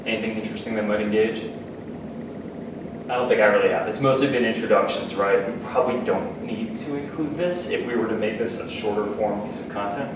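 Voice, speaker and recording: A man's voice: lowish voice, fairly quick. A man presenting a workshop, talking casually to the class and checking in with the listeners. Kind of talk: casual teaching talk to a class. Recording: echoey room, distant mic, background noise.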